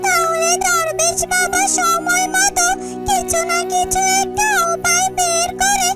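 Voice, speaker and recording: Very high-pitched gentle talking bird. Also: female voice, little pitch movement, slow pace. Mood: reassuring. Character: yellow talking bird, gentle loyal female adviser. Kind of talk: children's fairy-tale cartoon dialogue. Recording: music under the voice.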